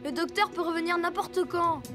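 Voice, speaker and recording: A woman voicing an earnest, fretful young child superhero in an animated cartoon. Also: very high-pitched, moderate pace. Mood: panicked. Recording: music behind the voice.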